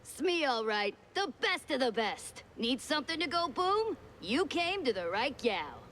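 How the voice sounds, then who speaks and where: A woman's voice: sits high, very animated, a little slow, fairly bright tone, a little hiss or hum, heavily acted. A woman playing a brash, cheerful cartoon mouse pyrotechnist in a game, with cocky, streetwise talk. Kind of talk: 1930s cartoon game acting.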